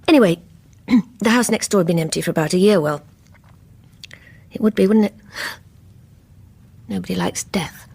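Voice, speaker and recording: An actress playing a guarded, troubled middle-aged English woman, telling her story haltingly and reflectively in a radio drama. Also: mid-range voice, creaky, a little mellow, breathy edge. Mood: wry, reflective. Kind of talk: first-person radio drama narration.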